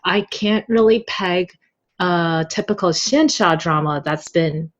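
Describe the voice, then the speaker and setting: A woman's voice: fairly low pitch, measured pace, muffled recording. A woman speaking as a podcast guest, chatty and candid in a casual conversational interview. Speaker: podcast guest, web novel translator.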